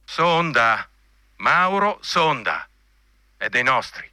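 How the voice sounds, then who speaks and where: A man's voice: medium pitch, big pitch swings, drawn-out words, pushed and bright, smooth, no echo, studio quality, exaggerated voice. An actor playing a coarse, bigoted male army general in a radio drama, blunt, commanding and insinuating. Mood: pressuring. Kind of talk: Italian radio drama acting.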